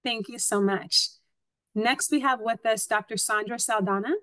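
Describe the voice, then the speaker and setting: A woman's voice: a little high, fairly even intonation, normal speed, bright, forceful tone, dry recording. A woman in her thirties moderating a webinar panel, polite and formal. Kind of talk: scripted moderation.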